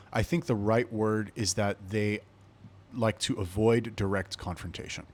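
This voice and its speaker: Rambling, foul-mouthed young man. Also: on the low side. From Los Angeles, USA. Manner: profane, rambling, digressive. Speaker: podcast host. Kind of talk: casual storytelling.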